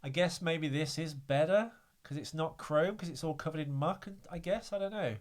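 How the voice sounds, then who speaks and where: A man's voice: fairly high pitch, normal speed. A man hosting a podcast in casual chat, hesitant and full of fillers, with a frog in his throat. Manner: hesitant, many fillers and "I don't know". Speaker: podcast host.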